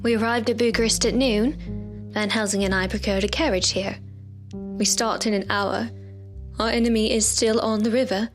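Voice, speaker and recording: Woman playing a haunted young victorian lady in a gothic radio play, highish voice, music swelling underneath. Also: steady tone, normal speed, natural voice. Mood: sober. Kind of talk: audio drama acting, first-person journal narration.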